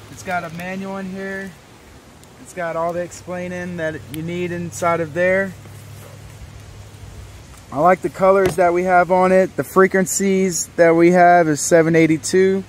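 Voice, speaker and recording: A young adult man giving a casual product demonstration to camera as a reviewer, speaking outdoors. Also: sits high. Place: outdoors in a wooded yard. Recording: outdoor camera audio with background noise.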